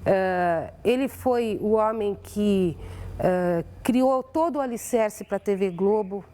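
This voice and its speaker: A woman with a Brazilian accent speaking in an interview as a radio executive, halting and emphatic with pauses. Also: mid-range voice, warm tone. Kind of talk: interview reminiscence.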